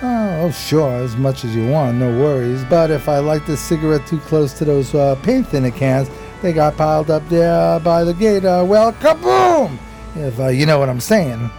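An actor playing a dim, streetwise, loyal homeless sidekick in an American radio play, with hesitant, slangy street talk. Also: male voice, on the high side, expressive, moderate pace, gravelly, warm tone. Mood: sly, weary. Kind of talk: radio play dialogue and narration. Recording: music behind the voice.

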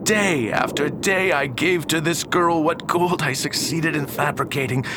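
A slow, fairly high-voiced older gentleman played by a male actor declaims like a Victorian alchemist in a radio play. He is proud, obsessed and embittered, speaking in grand old-fashioned phrases. There is clear rumbling noise behind him. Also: close to an everyday voice. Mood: triumphant, obsessive. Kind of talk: theatrical radio drama acting.

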